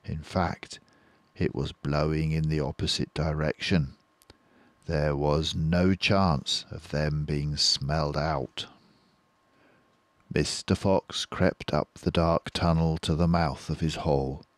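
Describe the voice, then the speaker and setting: An older man narrating a children's story in a measured, careful and suspenseful way. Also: very deep voice, fairly even intonation, dry recording. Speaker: storybook reader.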